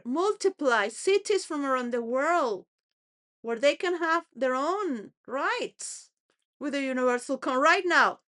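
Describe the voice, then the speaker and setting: A woman's voice: fairly high pitch, a bit bright. A woman rambling through a personal monologue, full of restarts and wandering thoughts, sometimes laughing between phrases. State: homeless.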